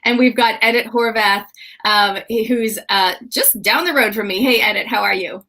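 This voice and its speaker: A woman's voice: mid-range voice, normal speed, bright, forceful tone. A woman with a Canadian accent hosting a livestream panel over a video call, introducing guests with enthusiasm. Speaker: livestream host.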